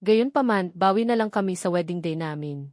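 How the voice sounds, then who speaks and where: A woman's voice: on the low side, fairly quick, a little mellow, no room sound. Filipino woman narrating a novel, dry close sound. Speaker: audiobook narrator. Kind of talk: scripted first-person romance novel narration.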